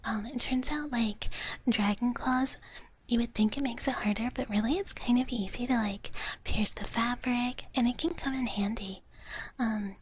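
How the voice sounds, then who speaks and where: A woman streamer speaking in a soft, breathy whisper in a playful, casual live ASMR style, close to the microphone. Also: a little high, moderate pace, a little hiss or hum. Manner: playful, speaks of herself in third person. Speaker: streamer performing as a dragon-girl character.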